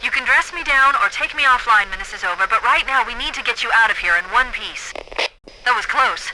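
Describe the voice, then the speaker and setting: An actress playing a brisk, confident, analytical female military AI in a video game, with precise, clipped phrasing. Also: a little high, expressive, pushed and bright, a little hiss or hum, poor audio. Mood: brisk, urgent. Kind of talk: video game voice acting, tactical briefing.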